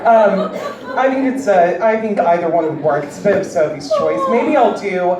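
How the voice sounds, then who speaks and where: A woman with a deep voice doing a stand-up comedy set with a wry, deadpan delivery, heard on stage before a live audience. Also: moderate pace. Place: large indoor venue with live audience. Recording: echoey hall recorded from far off, loud crowd. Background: audience cheering and laughing.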